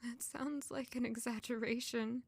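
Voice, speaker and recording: A woman's voice: fairly high pitch, fairly even intonation, a little slow, creaky, breathy edge, dry recording, heavily acted. An actress playing a fragile young servant woman, grieving and full of self-doubt, in an emotional audio drama. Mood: doubtful, subdued.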